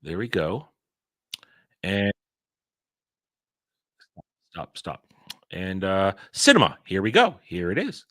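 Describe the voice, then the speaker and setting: A man hosting a casual livestream, rambling and excitable, restarting his sentences often. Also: low voice, slow pace, pauses often, no room sound, clean studio sound. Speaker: livestream host.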